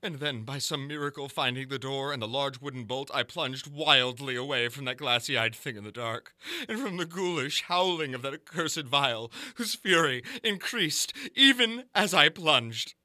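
A male storyteller reads old gothic horror with great theatrical weight. His voice is sharp, tense and very bright, at a middling pitch. He phrases deliberately, swelling from hushed to intense, in a dry, close recording. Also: no room sound. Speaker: narrator reading a horror story. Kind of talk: dramatic reading of gothic horror fiction.

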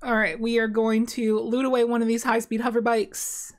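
A woman's voice: a little high, little pitch movement, normal speed. A young woman talking casually while playing a card game on stream, rambling with many fillers and restarts, in a small dim room. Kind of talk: casual gameplay commentary.

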